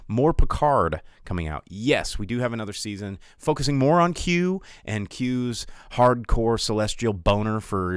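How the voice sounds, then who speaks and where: An American man with a fairly deep, resonant voice hosts a live film show. He chats loosely with viewers and rants with animated conviction, repeating words for emphasis. The sound is dry, with very little room in it. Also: smooth, dry recording. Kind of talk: casual livestream chat with viewers.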